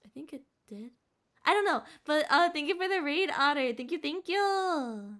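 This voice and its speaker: A woman's voice: high-pitched, animated, normal speed, fairly bright tone. A woman streamer chatting casually to her live audience in a bubbly, giggly way. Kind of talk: casual livestream chat.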